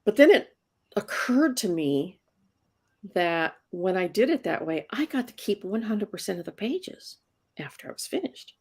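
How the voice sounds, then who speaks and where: A woman's voice: mid-range voice, very animated, a little slow, a little mellow, husky. A woman in her sixties, a comics inker, talking casually in an interview and often restarting her words. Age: 60s. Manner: chatty, repeats words when restarting.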